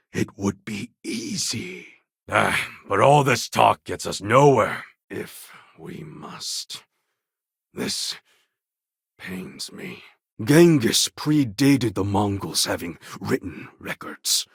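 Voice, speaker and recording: A defiant warlord from a video game, speaks in a very raspy, gravelly voice with a forceful edge. The delivery is slow and grandiose, every formal phrase weighted like that of an impassioned leader. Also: male voice, on the low side, no echo, studio quality, heavily acted. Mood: grave, reflective. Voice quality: gravelly, husky. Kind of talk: dramatic video game character acting.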